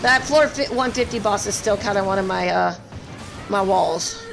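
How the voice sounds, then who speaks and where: A woman's voice: mid-range voice, expressive, moderate pace, gravelly, over music. A woman live-streaming a game and chatting casually with viewers, her voice strained and scratchy, apologetic and full of fillers.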